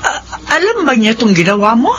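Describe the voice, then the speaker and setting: A woman's voice: fairly high pitch, very animated, fairly quick, background noise. An actress with a Filipino accent playing a concerned older mother in a radio drama. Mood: concerned, puzzled.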